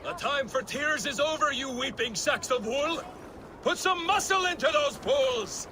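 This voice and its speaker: A man's voice: very high-pitched, unhurried, bright, forceful tone, a little hiss or hum, heavily acted. An actor playing a coarse, rowdy, jovially bossy Viking crewman in a video game, bellowing crudely. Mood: bossy, rousing. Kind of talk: cinematic video game acting.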